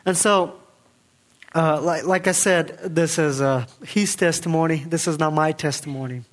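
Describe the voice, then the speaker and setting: A young man gives his church testimony, his voice a touch higher than most men's. He talks earnestly and haltingly, with many fillers and restarts as he searches for words. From Kazakhstan. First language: Kazakh. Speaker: guest speaker giving testimony.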